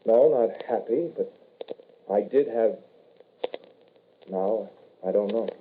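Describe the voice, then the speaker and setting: An actor playing a young soldier returned from the grave in 1940s radio horror, subdued and measured, on a tinny old recording. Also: male voice, on the low side, a little hiss or hum. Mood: grave confessing. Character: somber, reluctant dead soldier son returned from grave.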